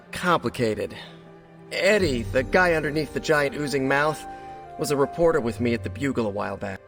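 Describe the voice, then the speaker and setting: A man's voice: mid-range voice, natural voice. A man acts out a young American superhero in a video game, swinging animatedly through jokey, self-deprecating chatter. He is nervous but earnest and rambles on. The voice rings in a long reverb over music. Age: young adult. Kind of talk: video game cutscene acting.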